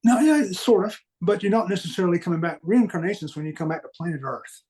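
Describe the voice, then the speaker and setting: A man with a mild Southern US accent, speaking earnestly and haltingly as he reflects on his own experiences in a conversational interview. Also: sits high, animated, mellow. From American Deep South. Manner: earnest, halting, repeats phrases for emphasis. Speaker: podcast guest recounting paranormal experiences.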